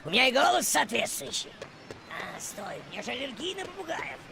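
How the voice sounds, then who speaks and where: A man's voice: extremely high pitch, wildly swooping pitch, a little fast, gravelly, crowd noise, exaggerated voice. An actor playing a boisterous male ferry captain with pirate airs, bellowing and theatrical, in a video game dub. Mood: jolly.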